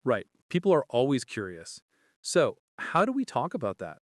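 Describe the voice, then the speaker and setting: A man's voice: mid-range voice, huge pitch swings, normal speed, no echo, studio quality. A man co-hosting a scripted, conversational English lesson in an inquisitive, explanatory way. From Canada. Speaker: podcast co-host.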